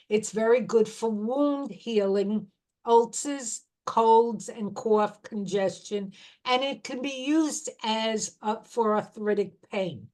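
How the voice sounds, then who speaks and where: Slow, unhurried woman explaining herbs. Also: fairly high pitch, a little mellow. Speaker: herbal educator. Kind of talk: informal talk on herbal remedies.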